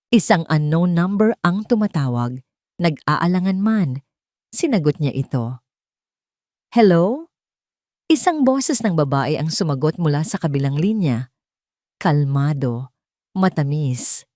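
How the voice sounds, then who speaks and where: Female Filipino storyteller for audio drama; lowish register, highly animated pitch, dry close sound. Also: dry recording.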